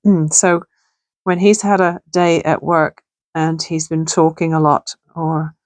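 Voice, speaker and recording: A middle-aged woman talks through a craft project in a relaxed, chatty way, musing aloud as she works. Her voice sits fairly low and sounds gravelly and a little hoarse. The sound is close and dry, with almost no room around it. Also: slow pace, no room sound. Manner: chatty, thinking aloud while working. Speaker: crafting channel host. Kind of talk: casual crafting tutorial chat. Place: home sewing room.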